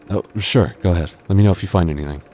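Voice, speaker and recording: A man playing a devoted, earnest young boyfriend in an intimate audio roleplay, speaking haltingly with stammers. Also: low voice, warm tone, lightly acted. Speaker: voice actor.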